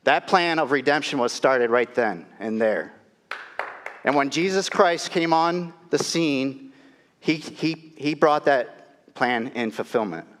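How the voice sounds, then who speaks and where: A man's voice: mid-range voice, expressive, slow, a bit bright. A middle-aged male pastor with a cold and a nasal voice, preaching a sermon in a casual, storytelling way in a church. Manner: conversational, storytelling, self-deprecating. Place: church sanctuary. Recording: long reverb of a church hall.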